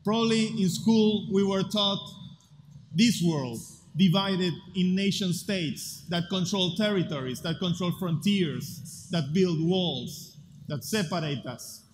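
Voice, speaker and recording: A man with an Argentine Spanish accent giving an earnest, deliberate conference talk with rhetorical pauses. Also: high voice, slight background noise. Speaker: speaker at a future festival. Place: large hall at a festival. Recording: live hall with long reverb, faint ambience.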